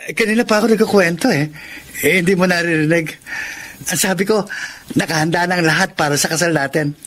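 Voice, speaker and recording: A man's voice: high voice, brisk, a bit bright, slight background noise. A Filipino man playing an earnest, worried young fiancé in a radio drama, speaking with concern and small stammers. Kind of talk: Tagalog radio drama acting.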